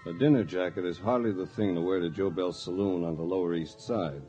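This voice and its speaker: A man's voice: low-pitched, brisk, over music, natural voice. A man playing a dry, urbane adventurer in a 1940s radio drama. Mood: wry. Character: wry, worldly male adventurer, former lawyer, narrating. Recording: muffled old radio, crowd noise behind.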